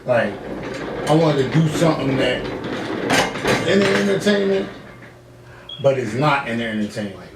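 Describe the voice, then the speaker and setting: A man hosting a casual podcast, talking in animated, profane slang. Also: mid-range voice, measured pace, long pauses. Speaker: podcast host. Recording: roomy, echoey, distant mic, background noise.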